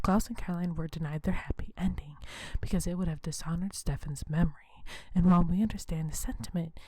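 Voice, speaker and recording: Softly whispering woman, breathy and close. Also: fairly low pitch, no echo, poor audio. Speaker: ASMR video host. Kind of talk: whispered ASMR talk.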